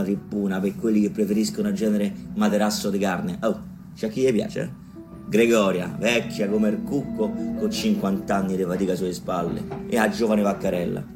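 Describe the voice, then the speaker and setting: Deep-voiced, bawdy village storyteller. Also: male voice. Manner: bawdy, colloquial, declaiming. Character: earthy male village storyteller, bawdy and wry. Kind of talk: theatrical storytelling narration.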